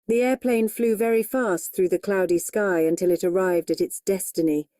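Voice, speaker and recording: A woman's voice: medium pitch, steady tone, normal speed, dark tone, dry recording, clean studio sound. A woman's text-to-speech voice reading a short demo sentence.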